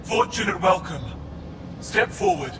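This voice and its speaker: An actor playing a zealous, commanding alien priest in a video game, speaking in solemn, ceremonial proclamations. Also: male voice, sits high, lively intonation, a little slow, heavy background noise. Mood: solemn, reverent. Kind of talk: dramatic video game acting. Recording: echoey, distant, under loud music.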